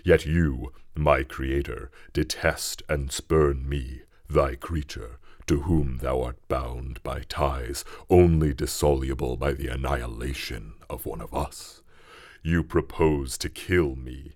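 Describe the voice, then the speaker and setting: A man voicing a wretched, vengeful male creature made by a scientist, speaking slowly, gravely and with menace. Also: rumbling low, a little mellow, no room sound, studio quality, voice pushed down, exaggerated voice. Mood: grave, menacing. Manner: slow, grave, mournful and menacing.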